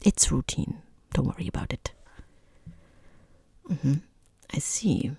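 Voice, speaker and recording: A person voicing a rude, condescending boss in a soft-spoken ASMR roleplay, with a breathy half-whisper, hesitant pauses and dryly mocking, falsely polite understatement. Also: mid-range voice, expressive, no room sound, exaggerated voice. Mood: probing, dry. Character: rude, condescending boss conducting a job interview.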